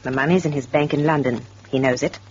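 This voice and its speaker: An actress playing a proud, hardworking woman farmer with a firm will, brisk and emphatic in old radio drama style. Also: low voice, fairly even intonation, a little mellow, natural voice. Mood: bitter, resolute. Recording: dull, noisy old radio recording.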